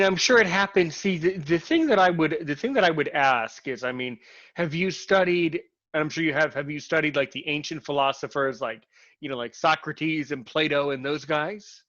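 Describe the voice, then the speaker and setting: Man host, chatty and rambling, slightly high voice, dry muffled lo-fi sound. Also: a bit bright, no echo. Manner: rambling, with many restarts. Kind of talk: casual conversation.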